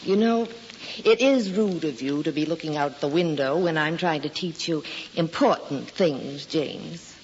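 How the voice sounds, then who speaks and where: A prim American schoolteacher, earnest and admonishing. Her voice has some creak, and she speaks in 1940s radio drama style. The sound is dull and carries a long reverb. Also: sits low, a little slow, darkish tone. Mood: scolding. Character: earnest, gently scolding female schoolteacher. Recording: dull, reverberant, noisy old radio recording.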